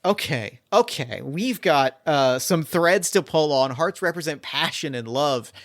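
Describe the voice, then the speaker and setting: A man narrating a tabletop mystery game as its game master, his voice sitting a little high. He rambles through his thoughts with plenty of fillers and restarts. The recording is dry and close, with no room sound. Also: expressive, measured pace, no echo.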